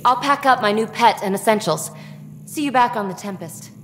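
A woman playing a breezy, excitable alien tinkerer in a video game, playful and flippant but guarded about her feelings. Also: on the high side, big-room sound, slight background noise, lightly acted. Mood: breezy, dismissive. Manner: playful, flippant, quick to brush off. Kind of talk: video game dialogue acting.

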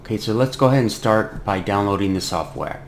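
A middle-aged man narrating a software tutorial as its host. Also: fairly low pitch, animated, fast talker. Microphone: close desktop microphone. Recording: clear background noise.

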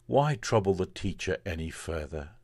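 A man, a priest, reading from the Bible in a solemn, measured and deliberate way. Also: low-pitched, animated, darkish tone, no echo, clean studio sound.

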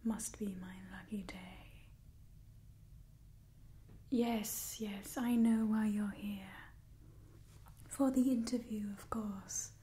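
A young woman playing an ancient, haughty vampire clan leader in a soft, breathy whispered ASMR roleplay, bitter and disdainful. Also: medium pitch, fairly even intonation, natural voice. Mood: weary, annoyed. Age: young adult. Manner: disdainful, theatrical, weary sighing, slow and deliberate.

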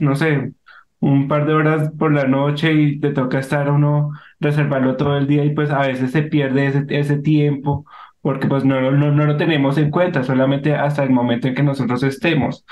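A man hosting a podcast interview, upbeat and friendly as he welcomes his guest and asks questions. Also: on the high side. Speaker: podcast host. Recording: roomy echo.

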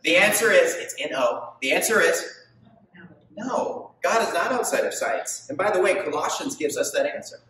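A man's voice: sits high, animated, normal speed, bright, forceful tone. A man in his thirties preaching a sermon, emphatic and asking the congregation questions as he gestures on stage. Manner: gestures widely while preaching. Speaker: pastor. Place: stage of a large indoor hall. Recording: very echoey hall, mic far off.

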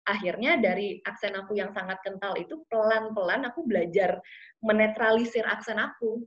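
A woman's voice: medium pitch, a little fast. A woman, chatting casually and animatedly as an interview guest, switching fluently between two languages. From Indonesia, raised partly abroad in London and Ethiopia. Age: 20s. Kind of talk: casual chat mixing Indonesian and English. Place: small plain room indoors. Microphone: video call. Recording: dull lo-fi video call sound.

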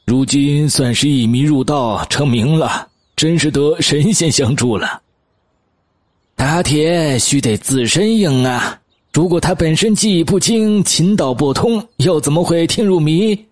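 A man voicing genial, chuckling elderly men in an audiobook, hesitant and unhurried. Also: on the high side, dry recording. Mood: amused, admiring. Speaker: audiobook voice actor. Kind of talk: acted audiobook dialogue.

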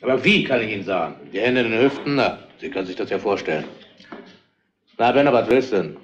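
Actor as gossipy tavern witness, dull recording. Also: male voice, fairly low pitch, lively intonation, fast talker, lightly acted. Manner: colloquial, rambling, insinuating. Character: blunt, gossipy tavern regular, crude-humoured male witness. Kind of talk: German crime radio play acting, retro. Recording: dull, band-limited old radio recording.

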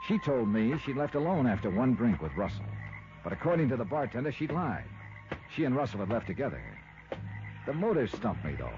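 An American actor playing a wry, suspicious insurance investigator with clipped, probing delivery in a 1950s radio detective drama. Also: male voice, lowish voice. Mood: tense, brooding. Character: hard-boiled, suspicious male insurance investigator. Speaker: lead actor and narrator. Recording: lo-fi old radio recording, loud noise.

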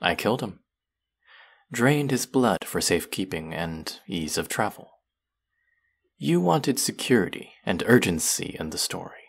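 A man's voice: deep voice, very animated, a little slow, vocal fry, slightly rough, heavily acted. A man voicing a smug, taunting young fantasy villain who menaces his captive in a theatrical roleplay audio monologue. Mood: menacing, smug.

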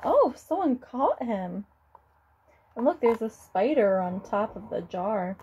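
A woman's voice: a little high, sing-song, slow, warm tone, highs cut off, a little hiss or hum. A young woman reading a picture book aloud to children, adding exclaiming, casual asides as a teacher would. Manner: exclaiming, child-directed commentary.

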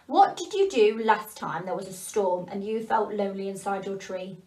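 Woman doing a wise, gentle woodpecker. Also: mid-range voice, moderate pace, roomy sound, natural voice, poor audio. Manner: calm, reassuring explanations. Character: wise, kind, patient woodpecker.

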